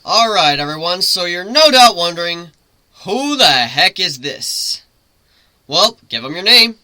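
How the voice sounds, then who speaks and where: Brash griffin captain guy, done by a male reader, loud n taunting, really swoopy, storybook bad boy. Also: high voice, big pitch swings, a little slow, dry recording, pitched above the performer's own voice, heavily acted. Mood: mock stern. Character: brash, commanding male griffin ship's captain, teasingly menacing.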